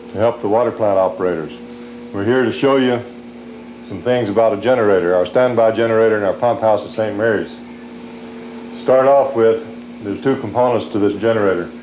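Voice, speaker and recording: A man's voice: fairly low pitch, a little mellow. A man giving a plain, folksy, hands-on instructional demonstration as a maintenance worker for a training film. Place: generator room in a pump house. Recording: echoey room, faint hum, 1980s training film.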